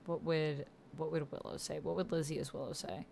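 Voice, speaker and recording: A woman's voice: low-pitched, level tone, fairly quick, creaky, dark tone. A woman giving casual commentary while typing and reading out an online roleplay chat, thinking aloud as she goes. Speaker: YouTuber playing a text-based roleplay game. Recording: noticeable background noise, keyboard typing. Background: computer keyboard typing.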